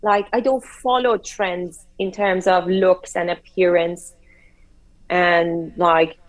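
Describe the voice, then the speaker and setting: A woman co-hosting a casual chat show, speaking earnestly with halting restarts and steering the conversation. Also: fairly low pitch, fairly even intonation, rough recording. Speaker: co-host.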